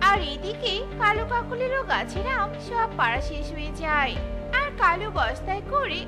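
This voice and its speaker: A woman's voice: extremely high pitch, big pitch swings, normal speed. A woman narrating a scripted children's cartoon story. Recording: loud music over the voice.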